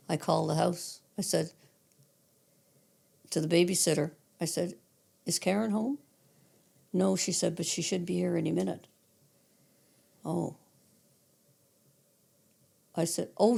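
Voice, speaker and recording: A hoarse older woman from Cape Breton, her raspy voice full of gravel and sitting a touch low. She recalls names and details from decades back in a steady, matter-of-fact way, like a retired dispatcher sharing stories with family. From Cape Breton Island, Canada. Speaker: guest, retired 911 dispatcher. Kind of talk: casual storytelling interview.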